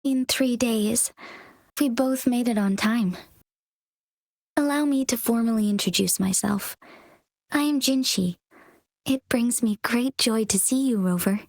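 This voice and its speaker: An actress playing a composed young female leader in a video game cutscene, speaking calmly and formally in measured explanations. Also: a little high, dry recording, clean studio sound. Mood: earnest.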